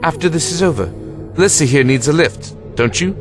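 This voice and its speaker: Male actor for breezy, reassuring time-travelling hero, animated mid-range delivery, music behind, audio drama. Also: a little high, normal speed, lightly acted. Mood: breezy, playful.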